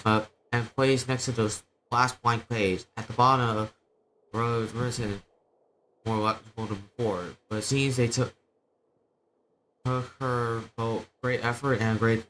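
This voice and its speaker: Halting male streamer, medium pitch. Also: level tone, fairly bright tone, a little hiss or hum, low-quality recording. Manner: halting, stumbling reading. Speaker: game streamer. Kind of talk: casual livestream, reading game text aloud. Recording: game music behind the voice.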